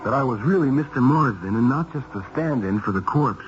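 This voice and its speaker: A man's voice: medium pitch, expressive, fairly quick, dull audio, natural voice. Actor for male private detective, radio mystery: blunt, in command, mid-range voice, direct phrasing, hissy 1970s broadcast. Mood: wry narration. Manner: clipped, direct questioning. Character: blunt, quick-thinking male detective, hard-boiled and in command. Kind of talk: 1970s radio mystery acting with first-person narration.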